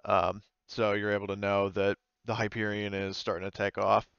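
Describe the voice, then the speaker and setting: A man's voice: lowish voice, monotone, moderate pace, gravelly, a bit bright, clear, resonant tone, no room sound, highs cut off. A man acting as game master, improvising narration casually in hesitant, explanatory phrases.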